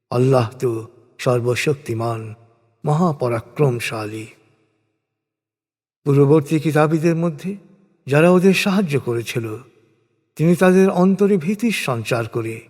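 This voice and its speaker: Higher-voiced man reciting in long reverb. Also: a little mellow. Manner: measured, formal phrasing with deliberate pauses. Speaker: narrator of scripture translation. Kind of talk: scripted solemn recitation of translated scripture. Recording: long reverberant hall-like echo.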